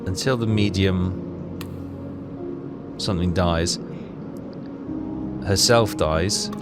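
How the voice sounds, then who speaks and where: Deep-voiced man musing slowly over a game. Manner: musing, halting, self-questioning. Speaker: let's player. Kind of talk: thinking aloud through a puzzle game. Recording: loud game music behind voice. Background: game music.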